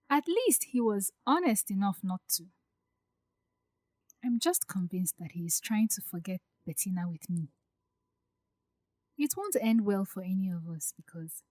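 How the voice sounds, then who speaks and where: A woman playing an anxious, hurt young bride-to-be in an audio drama, speaking softly and hesitantly as she confides her doubts. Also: medium pitch, dry recording. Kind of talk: acted audio drama dialogue.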